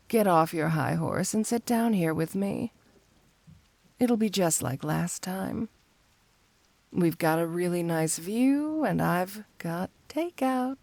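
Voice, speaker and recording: A woman's voice: on the low side, animated, vocal fry, darkish tone, no room sound, exaggerated voice. A woman playing a flirty, teasing supervillain cat burglar in an intimate ASMR roleplay, speaking coyly to the listener. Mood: teasing, affectionate. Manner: coy, teasing, intimate, unhurried.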